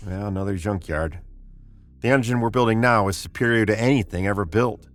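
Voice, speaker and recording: An actor playing a commanding spaceship captain, terse and grim, in the style of old-time sci-fi radio drama. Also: male voice, on the low side, brisk, natural voice. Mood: brisk. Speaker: actor and story narrator.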